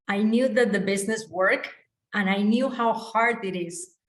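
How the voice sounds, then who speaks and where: Woman; earnest, light laughs; echoey room on a call. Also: medium pitch. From Costa Rica. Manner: earnest, laughs between phrases. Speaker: guest sharing her story.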